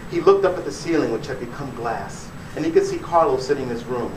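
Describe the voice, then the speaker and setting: A man's voice: medium pitch, animated, quick pace. A man, an author giving a dramatic reading of his fiction at a live bookstore event. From born in Puerto Rico, raised in the Bronx, New York. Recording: echoey room, distant mic, noisy.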